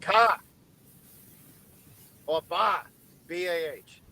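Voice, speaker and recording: A man's voice: high-pitched, extremely slow, pushed and bright. A man from Boston with a Boston accent, chatting casually on a group stream, rambling and repeating himself. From Boston, Massachusetts.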